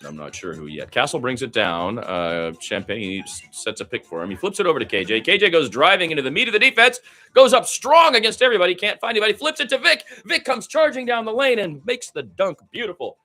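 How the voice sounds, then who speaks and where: Bright, forceful man calling basketball play-by-play. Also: high voice, soft background music. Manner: excitable in fast play, conversational between plays. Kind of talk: live radio play-by-play commentary.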